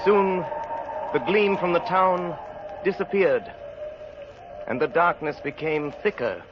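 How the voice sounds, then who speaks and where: A man narrating a radio drama in a grave, deliberate, theatrical style. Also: on the high side, slight background noise. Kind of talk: dramatic scripted narration, old-time radio. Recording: muffled old radio with music behind.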